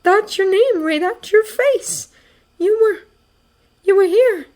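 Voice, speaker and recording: A shaken but protective teenage boy, as a woman narrator plays him. He speaks high and urgently, halting and coaxing, in an emotional audio story. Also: lively intonation, a little mellow. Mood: desperate, coaxing.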